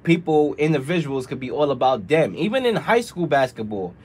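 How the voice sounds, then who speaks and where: A man's voice: a little high, big pitch swings, a little mellow, slightly rough. A young man co-hosting a radio show, giving long opinions in a casual sports debate, his voice a bit strained. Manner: talkative, drawn-out opinions. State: strained voice from frequent shows.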